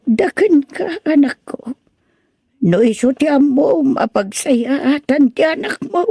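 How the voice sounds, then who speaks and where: A woman playing a gentle, sorrowful old mother who speaks tenderly and pleadingly in an audio drama. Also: high-pitched, animated, slow, gravelly, no echo, studio quality, full character voice. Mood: tender, concerned. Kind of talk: amateur audio drama acting.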